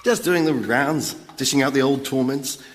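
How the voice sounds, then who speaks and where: A man's voice: mid-range voice, moderate pace, lightly acted. A man voicing a chatty, polite, dim and needy demon torturer in a radio sitcom, matter-of-fact yet self-pitying. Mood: chirpy. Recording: long hall-like reverb.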